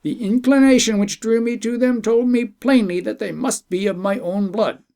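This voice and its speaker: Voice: medium pitch, moderate pace, warm tone, voice pushed up, natural voice. A storyteller voicing a dignified, fatherly emperor with stately, formal and emphatic speech in an audiobook tale. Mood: moved, fatherly. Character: dignified Persian emperor, gracious, credulous, fatherly.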